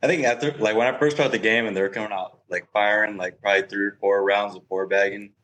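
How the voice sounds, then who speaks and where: A man's voice: lowish voice, steady tone, quick pace, a bit bright, poor audio. A young man speaking casually as an interview guest and pro player. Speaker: guest, professional cornhole player.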